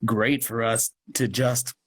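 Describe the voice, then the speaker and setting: A man speaking as an interview guest in a casual, halting way, thinking aloud as he goes. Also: medium pitch, level tone, breathy edge. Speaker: guest, audio drama producer and actor.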